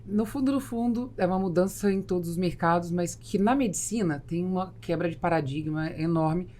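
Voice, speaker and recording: Low-voiced woman host asking questions. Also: fairly quick, warm tone. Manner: probing, asks long questions. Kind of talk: casual chat.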